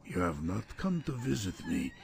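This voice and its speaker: Gravelly, menacing butcher villain. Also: male voice, on the low side, sing-song. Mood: menacing, bitter. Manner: slow, menacing, mock-tender. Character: menacing butcher villain, sadistic middle-aged father. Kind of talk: horror video game acting. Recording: loud music over the voice. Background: loud music.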